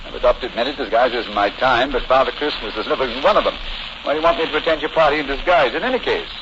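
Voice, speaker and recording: An actor playing a shrewd, amused gentleman detective with an English accent, urbane and clipped in a 1940s radio drama. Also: medium pitch, fast, close to an everyday voice. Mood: teasing. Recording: noisy, dull old 1940s radio recording.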